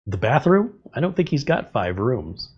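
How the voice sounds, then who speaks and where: A man's voice: medium pitch, very animated, normal speed, slightly rough, muffled recording. A middle-aged man hosting a casual livestream, a little congested, chatting in a rambling way and reading viewer comments aloud. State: slightly congested. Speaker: livestream host.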